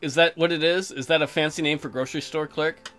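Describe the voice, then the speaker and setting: A man's voice: fairly high pitch, fairly even intonation, fast talker, fairly bright tone, soft background music. A man hosting a let's play, mixing crude, mocking commentary with reading game text aloud.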